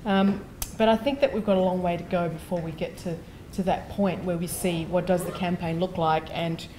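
A woman on a panel in a lecture theatre answers a question from the audience. She speaks in a measured, hedging way, with a fairly low voice. A faint hum sits under her speech. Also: steady tone, darkish tone, low-quality recording.

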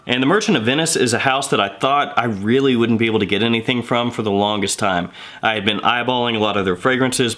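A man in his thirties with a head cold, chatting through a casual video review and rattling off quick lists. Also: fairly low pitch, sharp tone. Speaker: fragrance reviewer. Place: indoor room with shelves of bottles.

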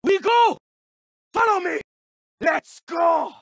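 Medieval eastern warrior, video game battle barks: very high strained shouts, slow emphatic delivery, dramatic man of war. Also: gravelly, no echo, studio quality, full character voice. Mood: fierce.

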